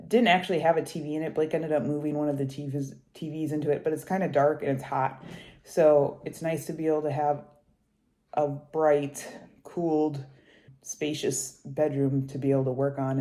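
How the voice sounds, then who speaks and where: A young woman with a stuffy, congested voice talks casually and rambles along. Her voice sits low and mellow. She is recorded at a kitchen table in a house. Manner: rambling, conversational, hushed while kids nap. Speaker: cross-stitch vlogger. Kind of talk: casual chatty vlog.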